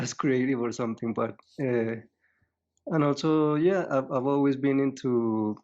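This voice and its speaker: A man from Colombia speaking as an interview guest, modest and soft-spoken, often repeating words as he talks. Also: medium pitch, lo-fi sound. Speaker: guest, tea adviser. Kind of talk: casual interview.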